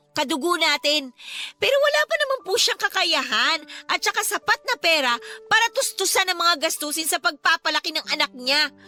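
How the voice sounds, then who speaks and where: A woman's voice: very high voice, sharp tone, full character voice. A Filipino woman playing a frustrated, outspoken eldest daughter in a radio drama, indignant and emphatic in her delivery. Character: frustrated, outspoken adult eldest daughter, dutiful but hurt. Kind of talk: Tagalog radio drama acting. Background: background music.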